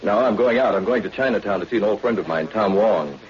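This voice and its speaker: An actor playing a self-assured, tough but polite undercover agent in 1940s radio drama style. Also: male voice, fairly low pitch, quick pace, lightly acted. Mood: puzzled, urgent. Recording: muffled, hissy old-time radio recording.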